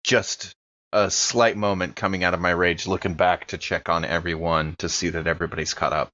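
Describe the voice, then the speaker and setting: A man's voice: sits low, flat delivery, fairly quick, bright, forceful tone, highs cut off. A man chatting casually as a player in a tabletop game podcast.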